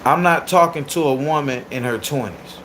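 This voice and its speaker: A man in his thirties hosting a casual livestream and reacting to viewer comments. He is loud, animated and crude, and laughs often. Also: on the high side, normal speed, background noise. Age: 30s. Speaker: livestream host.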